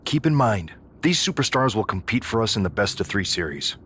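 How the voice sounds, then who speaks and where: A man's voice: lowish voice, sing-song, a little fast, a bit bright, slight background noise. A man playing a serious, supportive wrestling mentor in a video game cutscene. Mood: grave.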